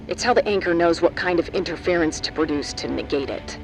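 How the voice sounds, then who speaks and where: A man's voice: a little high, fairly quick, soft background music, lightly acted, rough recording. A man acting in an audio drama as a hesitant techy colleague, casually explaining. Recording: noticeable background noise.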